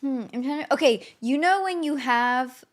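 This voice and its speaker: Animated young woman telling stories. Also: high voice, moderate pace. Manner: animated, swearing, laughing storyteller. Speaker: podcast co-host. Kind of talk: casual chat.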